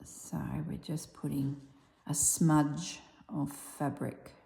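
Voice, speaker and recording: An Australian woman with a husky, slightly strained voice chatting casually while she stitches, softly dropping toward a whisper at times. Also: low-pitched, fairly even intonation, slow pace. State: voice strained from yelling. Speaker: embroidery vlogger. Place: tiled room with little on the floor. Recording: echoey tiled room.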